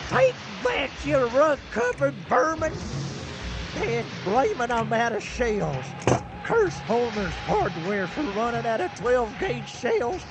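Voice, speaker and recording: An actor playing a cantankerous, folksy small-town mechanic with a rural Southern American accent in a comic radio drama. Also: male voice, very high voice, sing-song, slow pace, over music, full character voice. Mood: indignant, feisty. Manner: drawling hillbilly idioms, fussy.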